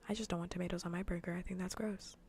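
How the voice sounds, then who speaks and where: A woman streaming in a soft, breathy, often whispered ASMR voice, chatting informally and playfully close to the mic. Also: lowish voice, steady tone, racing, a little hiss or hum. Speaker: streamer hosting a live cozy gaming broadcast. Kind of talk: soft-spoken ASMR casual chat. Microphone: close ASMR microphone.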